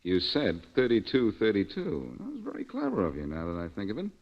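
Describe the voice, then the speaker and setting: A man's voice: fairly low pitch, huge pitch swings, fairly quick, full character voice. A man playing a shrewd, hard-nosed private detective in 1940s radio style, clipped and wisecracking. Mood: sardonic, probing. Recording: dull lo-fi old radio recording.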